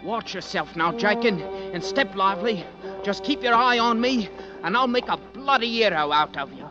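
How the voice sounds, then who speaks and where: An actor playing a brash young army fifer with a Cockney accent, grumbling and eager for glory in a radio drama. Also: male voice, high voice, lively intonation, normal speed, lo-fi sound, loud music behind the voice. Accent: Cockney British. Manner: brash, slangy, grumbling bravado. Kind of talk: 1940s-50s radio drama acting. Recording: old band-limited radio recording, crowd noise.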